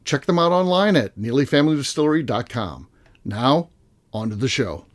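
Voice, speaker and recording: A man's voice: mid-range voice, normal speed, background noise. A man co-hosting a podcast, reading sponsor spots and joking with guests in casual chat. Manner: lively, joking, reads sponsor ads. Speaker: podcast co-host.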